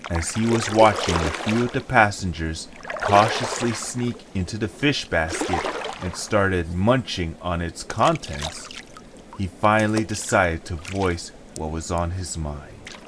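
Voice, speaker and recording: A man with a fairly low voice narrates a story from a script. The recording has clear background noise behind him. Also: unhurried. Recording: noisy home recording.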